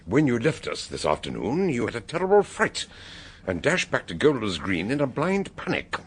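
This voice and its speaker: An actor in a radio drama playing a shrewd, blunt police superintendent with a brusque, knowing authority. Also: male voice, medium pitch, wildly swooping pitch, moderate pace, slightly rough. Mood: pressing, dry.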